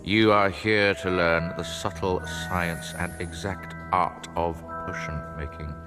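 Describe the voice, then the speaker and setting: Stern snooty wizard teacher for a game, really deep n slow, cold lecturing. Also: male voice, fairly even intonation. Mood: cold disdain. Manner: cold, contemptuous, precise lecturing. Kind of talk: video game character acting. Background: very loud game music.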